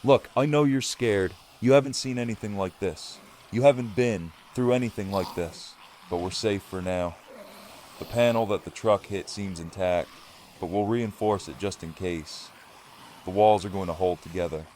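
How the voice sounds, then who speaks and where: A man's voice: fairly low pitch, normal speed, a little mellow, natural voice. A man playing a steely, commanding leader of apocalypse survivors, firm and rallying, in an audio drama. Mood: firm, grave. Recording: clear background noise.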